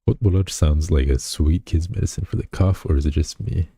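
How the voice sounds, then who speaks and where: A man's voice: rumbling low, a little fast, gravelly, dark tone, breathy edge, dry recording. A man streaming as a VTuber who chats casually and reads his chat aloud. He rambles and teases himself, with lots of fillers and restarts, recorded on a close desk mic at home. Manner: rambling, self-deprecating, many fillers and restarts.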